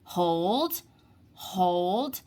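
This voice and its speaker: A gentle young sea child from a storybook, as a woman reads the part, coaches in a soothing way. The words come slowly, with lively rises and falls in pitch. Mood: calm coaching. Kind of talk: children's storybook character reading.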